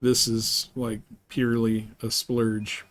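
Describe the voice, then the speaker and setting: A man hosting a live game stream, chatting casually and haltingly with viewers as he thinks aloud in an easygoing way. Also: lowish voice, little pitch movement, dry recording. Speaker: game livestream host. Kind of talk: casual livestream chat while gaming, answering viewers.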